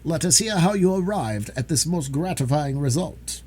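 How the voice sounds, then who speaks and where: A man voicing a composed, dryly courteous gentleman detective with a grave, sententious manner, in a storybook reading. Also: a little high, animated, moderate pace, breathy edge, pitched above the performer's own voice.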